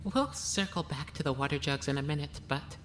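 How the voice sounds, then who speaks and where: A woman playing a composed, analytical young woman, speaking in a measured, matter-of-fact way. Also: deep voice, lively intonation, slight background noise. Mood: calm, analytical. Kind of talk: animated murder-mystery voice acting.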